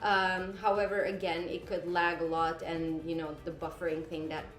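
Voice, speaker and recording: A woman's voice: on the low side, little pitch movement, moderate pace, fairly bright tone. A woman from Syria giving casual travel tips to camera from in front of a green screen, chatty, with fillers and self-corrections. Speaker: travel vlogger. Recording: roomy echo, faint music behind.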